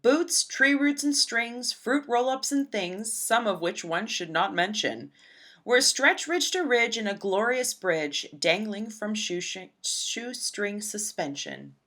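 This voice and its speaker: Woman, storybook reader, high bright voice, rhythmic dramatic read-aloud, very lively intonation. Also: slow pace. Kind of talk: expressive children's read-aloud narration.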